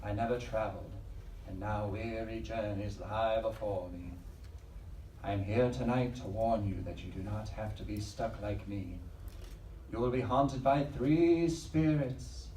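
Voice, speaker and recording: A man's voice: fairly low pitch, mellow, close to an everyday voice. Echoing across a live stage with faint noise, a man acts out a weary ghost condemned to wander. He declaims solemnly and theatrically, at some distance from the mic. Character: weary, condemned ghost of a young male patient. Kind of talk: live audio drama acting.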